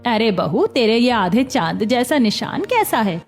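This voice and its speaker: A woman's voice: on the high side, very animated, measured pace, soft background music, full character voice. A woman voicing a caring, concerned older mother-in-law in a cartoon story. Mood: curious. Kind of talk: Hindi cartoon story voice acting.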